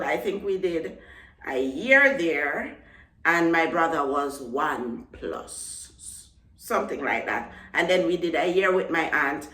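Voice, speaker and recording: A woman's voice: on the low side, bright, forceful tone, roomy sound, recorded from a distance. Chatty Jamaican woman vlogger. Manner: chatty, repeats words for emphasis. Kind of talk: casual talk to camera.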